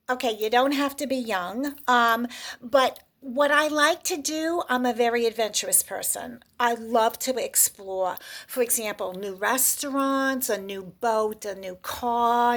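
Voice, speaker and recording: Breezy woman pitching herself for a date. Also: a bit bright. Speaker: dating contestant. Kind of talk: comic dating video pitch.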